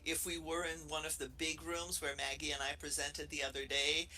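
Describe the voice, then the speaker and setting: A middle-aged man with a Brazilian accent gives a loose, playful talk to a room and an online audience, often restarting his sentences. His voice is very bright and sits a little high for a man, with a faint hiss of noise behind it. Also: flat delivery, normal speed, rough recording. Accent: Brazilian Portuguese. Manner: playful, chatty, many restarts. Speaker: associate professor presenting.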